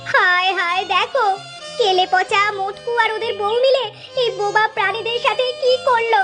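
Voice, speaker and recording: A woman voicing an exasperated, complaining village housewife and mother in an animated children's story. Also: very high voice, moderate pace, music in the background.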